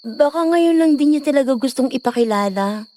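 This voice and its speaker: Woman acting young girlfriend type, polite n easily embarrassed, super high voice, audio drama. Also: expressive, moderate pace, warm tone. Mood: polite, friendly.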